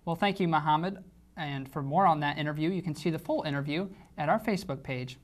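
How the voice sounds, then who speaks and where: A man's voice: on the high side, brisk. A young man anchoring a student news show, reading the copy and asking interview questions. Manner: read-out anchor lines, loose in interview.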